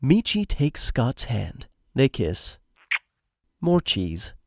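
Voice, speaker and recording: A man's voice: medium pitch, extremely slow, gravelly, no echo, studio quality. A man narrating a scripted comedy story in a playful, conversational and wry way. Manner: wry, animated.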